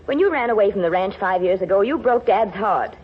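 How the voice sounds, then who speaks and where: An actress playing an earnest young woman in a 1940s radio melodrama, pleading in a halting, emotional way. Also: medium pitch, expressive, husky. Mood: dismayed, reproachful. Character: earnest, distraught young female cattle rancher, pleading. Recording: muffled old radio broadcast, vehicle noise.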